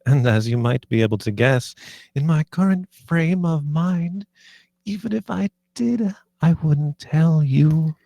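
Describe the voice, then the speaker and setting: A man playing a sadistic, taunting villain duchess in a screenplay table read, with a creaky, deliberate delivery. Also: a little high, lively intonation, mellow, no room sound. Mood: smug, taunting.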